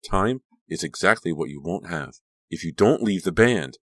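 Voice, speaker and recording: A man's voice: low voice, lively intonation, normal speed, a bit bright, no echo. A man voicing a curt, hostile teenage girl. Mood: threatening. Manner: curt, threatening. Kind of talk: audiobook character reading.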